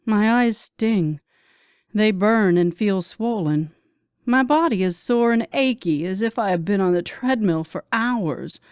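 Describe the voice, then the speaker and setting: A woman reading a first-person romance audiobook as a narrator, in a dramatic, expressive manner. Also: medium pitch, a little slow, clean tone, no room sound, studio quality.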